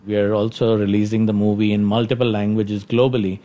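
A man from India with an Indian accent, an executive giving informal interview answers, halting and repeating himself as he thinks. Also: fairly low pitch, flat delivery, warm tone. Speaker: company executive being interviewed.

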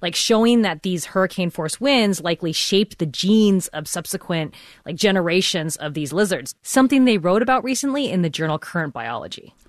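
Lower-voiced woman explaining science. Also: lively intonation, brisk, no echo, studio quality. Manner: upbeat, explanatory, uses "like". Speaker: science podcast co-host. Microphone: studio mic.

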